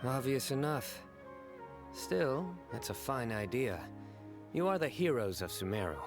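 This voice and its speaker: A man playing a composed young investigator in a video game, formal and measured, with dry humor. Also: medium pitch, sing-song. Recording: music playing behind the voice.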